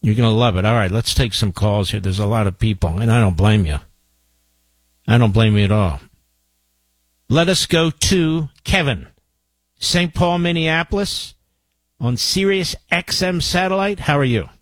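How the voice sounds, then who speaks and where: A man hosting a talk radio call-in show in a dry, close studio sound. He speaks in an emphatic, opinionated way. His pitch sits in a middle range for a man. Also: expressive, normal speed, long pauses, dry recording.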